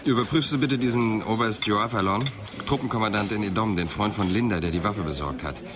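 An actor playing a brooding, middle-aged police inspector in a German radio crime drama. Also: male voice, on the low side, fairly quick, crowd noise, close to an everyday voice. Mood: businesslike.

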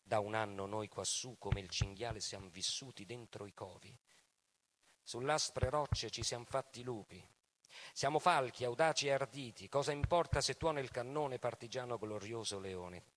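A man giving a dramatic theatrical recitation of testimonies, in a solemn, measured, declamatory manner. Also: mid-range voice, a bit bright. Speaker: stage performer reading partisan testimonies. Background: music.

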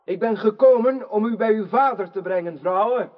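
An actor playing a bold, chivalrous knight in a radio drama, speaking in a formal, declamatory way. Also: male voice, high voice, moderate pace, natural voice. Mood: resolute. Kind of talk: theatrical radio drama acting. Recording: muffled radio play recording.

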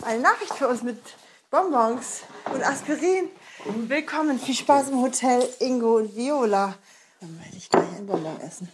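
A woman's voice: on the high side, lively intonation, normal speed, noisy background. A woman talking casually, sharing remarks in everyday conversation. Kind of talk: casual chat.

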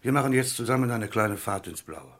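A man's voice: on the low side, animated, a little fast, a bit bright. An actor playing a threatening gangster henchman in a radio crime drama. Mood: menacing. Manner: threatening, curt.

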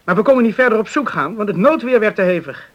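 A friendly island beachcomber in a radio drama. His voice sits high for a man, and he talks earnestly, now and then hesitating like a shy suitor. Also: lively intonation, fast, lo-fi sound. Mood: earnest, intrigued. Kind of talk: radio play acting.

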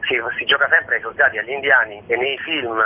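A man's voice: mid-range voice, steady tone, fairly quick, slightly rough. A man giving a conversational radio interview as a storyteller, talking discursively and expressively, with rhetorical asides. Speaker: guest, stage actor and storyteller. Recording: thin phone-line radio sound.

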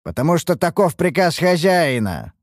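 A man's voice: a little high, sing-song, normal speed, no echo, clean studio sound, voice pushed up. A man voicing a sardonic, bossy mage master with a mocking, persuasive manner in a fantasy audiobook. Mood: commanding.

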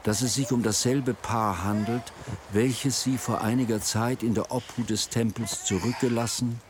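A man's voice: medium pitch, fairly even intonation, normal speed, background noise. A man reading scripted storytelling narration for a radio play. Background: music.

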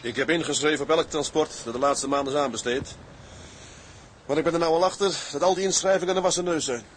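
A determined tugboat skipper, played by a man, with a slightly high male voice. He speaks earnestly and deliberately, as in a radio drama, over clearly audible ambient noise. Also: steady tone, lightly acted. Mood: resigned, indignant. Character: determined Dutch tugboat skipper, patient but embittered by rivals.

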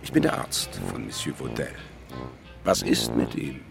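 An actor playing a haughty, punctilious French physician in a German radio crime drama, pompous and formal. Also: male voice, a bass voice, wildly swooping pitch, measured pace, gravelly, over music, exaggerated voice. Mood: formal, reserved.

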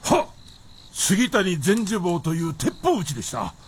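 An actor playing a dutiful, gruff samurai retainer in a period radio drama, with clipped, formal replies. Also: male voice, high-pitched, wildly swooping pitch, a little slow, exaggerated voice. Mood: dutiful, indignant.

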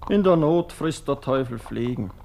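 An actor playing an easygoing young army comrade with an Austrian accent, chatting wryly in a radio drama. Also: male voice, medium pitch, lively intonation, moderate pace, vocal fry, a little mellow, a little hiss or hum.

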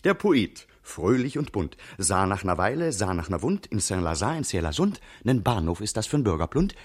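Playful rhyme chanter, husky male voice, very animated pitch, rhythmic singsong, refrains, radio drama. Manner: rhythmic, singsong, swinging between high and low. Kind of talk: performed verse in a radio play.

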